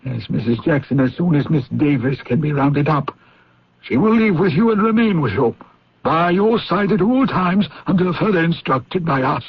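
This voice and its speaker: An actor playing a senior British intelligence chief in a radio drama, formal and authoritative, pausing as he gives orders. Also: a little high, animated, breathy edge. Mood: grave, instructing. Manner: formal, hesitant pauses, authoritative. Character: senior British intelligence chief, courteous but commanding knight. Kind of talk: old-time radio drama acting. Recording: muffled radio drama recording.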